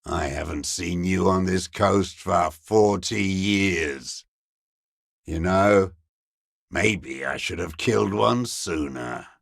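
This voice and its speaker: Casting: a bitter old sniper in hiding, video game; creaky, gravelly, scornful, very dry close sound. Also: male voice, very deep voice, fairly bright tone, no room sound, exaggerated voice. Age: older. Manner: slow, contemptuous, bitter invective. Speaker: video game character actor. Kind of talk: dramatic video game voice acting.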